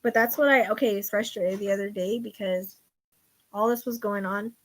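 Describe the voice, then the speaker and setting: A middle-aged woman with a loud voice, chatting casually with online viewers as a psychic show host, rambling and confiding and trailing off quietly at the ends of thoughts. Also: mid-range voice, steady tone, moderate pace, poor audio. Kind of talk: casual chat.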